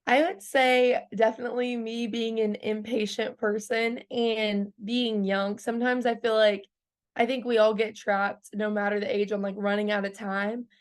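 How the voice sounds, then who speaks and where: A woman's voice: on the high side, level tone, moderate pace. A woman in her early twenties, a country singer chatting casually in an interview, filling her talk with "like" and laughing often. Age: 20s. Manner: bubbly, laughs often, lots of "like" fillers.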